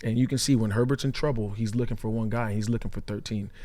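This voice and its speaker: A man's voice: lowish voice, steady tone, fast, warm tone. A man in casual sports podcast chat as an analyst, conversational and often seeking agreement with short tag questions. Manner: conversational, often checks in with "right?". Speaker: sports analyst, former pro player.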